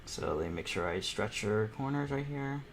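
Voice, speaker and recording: A young man hosting an online art panel, chatting casually and excitably as he draws, thinking aloud. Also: fairly low pitch, moderate pace. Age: teens or young adult. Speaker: host of an online painting panel. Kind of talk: casual chat while drawing live.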